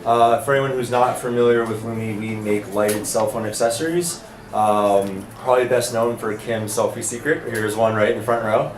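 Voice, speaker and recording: A man's voice: lowish voice, normal speed, a crowd in the background. A man speaking casually and upbeat as a panelist, with many fillers. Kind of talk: panel discussion. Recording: echoey room, faint noise.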